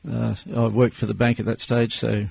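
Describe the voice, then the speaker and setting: Gravelly, husky older Australian man. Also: medium pitch, completely flat delivery, darkish tone, dry recording. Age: 60s. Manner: plain-spoken, measured. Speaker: business owner guest. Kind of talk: interview answers.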